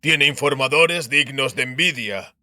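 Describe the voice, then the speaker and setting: Royal spymaster, blunt, stiffly polite, hard bright tone, dry audiobook recording. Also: male voice, medium pitch, a little slow, no room sound. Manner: stiff, pointed. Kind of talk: dramatised audiobook dialogue.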